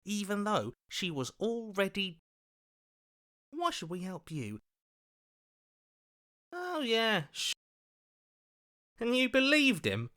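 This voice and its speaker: Cynical, swooping, suspicious inner voice. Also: male voice, sits high, big pitch swings, slow, long pauses, sharp tone, heavily acted. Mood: bitter, suspicious. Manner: bitter, sarcastic, distrustful. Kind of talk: scripted game voice acting.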